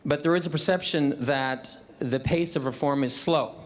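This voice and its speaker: A man's voice: on the high side, normal speed. A man born in India and raised in the US asks a prepared question at a town hall in a friendly manner. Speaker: audience questioner.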